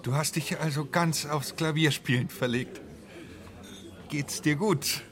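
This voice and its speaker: An actor playing a restless, inquisitive doctor in a radio play, questioning in an urgent, brooding way. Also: male voice, on the high side, a little slow, fairly bright tone. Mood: surprised, curious. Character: restless, inquisitive doctor drawn to forbidden adventure.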